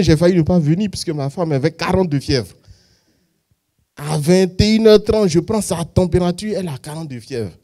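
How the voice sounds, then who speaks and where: A man's voice: a little high, warm tone. A man giving a spontaneous testimony in a church service, hesitant and full of fillers. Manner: hesitant, many fillers and restarts. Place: church hall.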